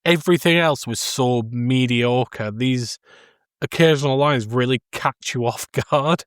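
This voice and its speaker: A man's voice: medium pitch, a little slow, clean tone, no room sound, studio quality. A man in his thirties co-hosting a podcast, casually discussing film in hesitant, stop-start phrases. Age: 30s. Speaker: podcast co-host.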